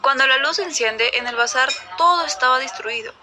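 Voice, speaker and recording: High-pitched woman narrating over noise. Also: fairly quick, sharp tone. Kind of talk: scripted story narration. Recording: clear background noise.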